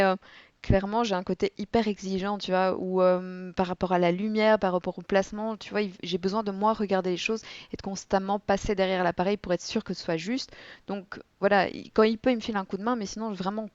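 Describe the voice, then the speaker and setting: A young woman guest telling stories and explaining her work in a relaxed podcast interview, with animated storytelling. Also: mid-range voice, clean tone, dry recording. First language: French. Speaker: guest, board game content creator. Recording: dull, dry lo-fi audio.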